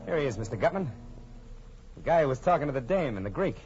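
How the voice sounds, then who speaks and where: A man's voice: mid-range voice, very animated, fast, a little mellow, natural voice. Guy playing a surly curt gangster henchman, old dull radio drama, music behind. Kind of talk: 1940s radio noir acting.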